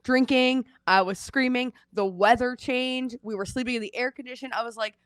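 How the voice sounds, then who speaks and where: Congested woman telling a quick story. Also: fairly high pitch, clean tone, no echo, clean studio sound. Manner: chatty storytelling, animated retelling. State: congested, losing her voice. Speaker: co-host. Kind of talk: casual chat. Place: at home in Seattle.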